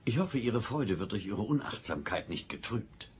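An actor playing an evasive, formally courteous but stern station administrator in a German audio drama, with emphatic delivery. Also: male voice, fairly low pitch, very animated, normal speed, a little hiss or hum. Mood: formal, smooth.

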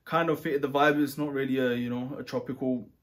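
A man talks casually at home, loose and rambling, full of "you know" and restarts. His voice sits at a middle pitch. He sounds easygoing and conversational. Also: a little fast. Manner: rambling, relaxed, many fillers and restarts. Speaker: travel vlogger. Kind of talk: casual chat.

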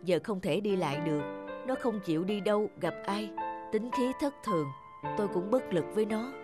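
A woman voicing a kindly, worn-out older man who runs a restaurant in an animated cartoon, speaking warmly and with resignation. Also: medium pitch, brisk, music in the background, close to an everyday voice. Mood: resigned.

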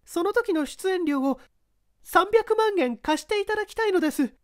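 A woman plays an earnest young actress in an audio drama. Her high, very expressive voice pleads in polite phrases. The recording is close and dry. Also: normal speed, no echo. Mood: desperate pleading.

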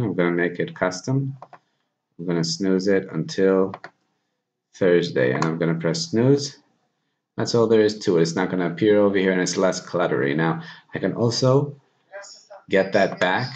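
Low-voiced man giving a casual screencast tutorial. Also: moderate pace. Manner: informal, thinking aloud while demonstrating. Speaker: tutorial presenter. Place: small plain room indoors. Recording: dull lo-fi audio, background noise.

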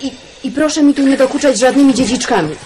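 Actress as a high-voiced, touchy young country woman. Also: quick pace, a crowd in the background, lightly acted. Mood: prickly. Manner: prickly, emotional. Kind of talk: radio drama acting. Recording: noisy radio play recording.

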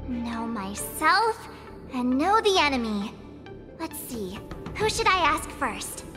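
A woman playing a cheerful, girlish novice swordswoman in an anime-style video game, perky and expressive. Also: high-pitched, slow, heavily acted. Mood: puzzled. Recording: long reverb, music behind. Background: music.

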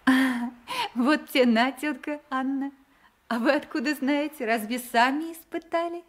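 Cheeky young village girl, radio drama, highish girly voice, playful. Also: unhurried.

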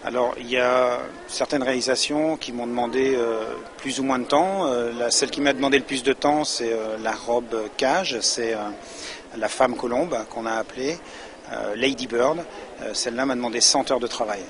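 A man's voice: medium pitch, fairly even intonation, moderate pace. Hesitant man with a noisy background. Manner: hesitant, with many fillers. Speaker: chocolatier. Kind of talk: interview answers. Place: busy exhibition hall.

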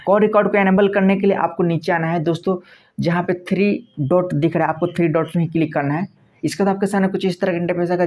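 A man's voice: high-pitched, darkish tone. A man presenting a step-by-step phone tutorial in a repetitive, instructional manner. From India.

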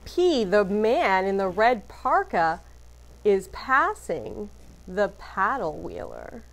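Expressive, unhurried woman reading a picture book. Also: mid-range voice. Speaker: art teacher reading aloud to classes. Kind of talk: picture book read-aloud.

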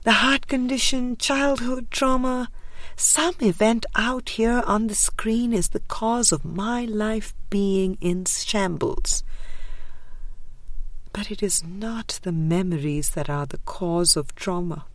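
A woman reading a spiritual book aloud as scripted narration, in a measured, deliberate way with pauses. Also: mid-range voice, no echo. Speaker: audiobook reader.

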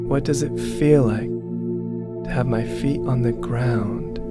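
Slow-paced man guiding a meditation over music. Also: medium pitch. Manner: soothing, measured, instructional, repeats phrases slowly. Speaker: meditation guide, doctor. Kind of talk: guided meditation narration. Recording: prominent meditation music with singing bowls. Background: meditation music, singing bowl tones.

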